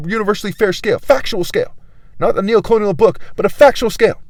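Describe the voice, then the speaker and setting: A man giving an angry, rambling monologue, swearing and swinging between low murmurs and loud outbursts. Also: no echo. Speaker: vlogger.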